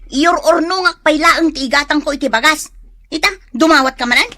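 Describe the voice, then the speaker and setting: A frazzled Ilocano Filipino beautician in a comedy radio play. Her voice is extremely high and bright, whiny and full of exclamations, with the short temper of a nagging wife. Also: fairly quick. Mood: exasperated nagging. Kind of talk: comedic radio drama acting.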